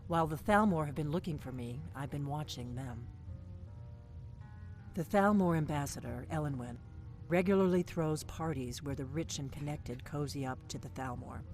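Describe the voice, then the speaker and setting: A woman's voice: deep voice, normal speed, a little mellow, soft background music. An actress playing a wary veteran female secret agent in a fantasy game, confiding and matter-of-fact. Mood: confident, scheming.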